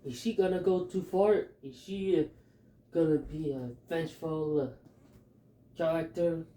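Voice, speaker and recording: A man with a Filipino accent talking through his fan theories in a halting, hesitant way, with many fillers. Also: a little high, dark tone, reverberant, poor audio. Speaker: fan commentator. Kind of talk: casual theory talk.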